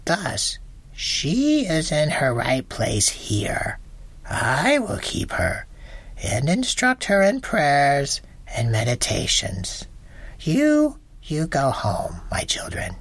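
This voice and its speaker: A woman voicing a pious, wise old abbess who speaks slowly in a hushed, solemn way, sometimes almost whispering. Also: deep voice, wildly swooping pitch, breathy edge, exaggerated voice.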